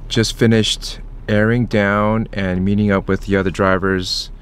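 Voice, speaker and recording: A man narrating a casual camping and off-road vlog in a low-key, informal way, recorded outdoors. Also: flat delivery, measured pace. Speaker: vlog host. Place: outdoors at a campground and trails. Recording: background noise, outdoors on location.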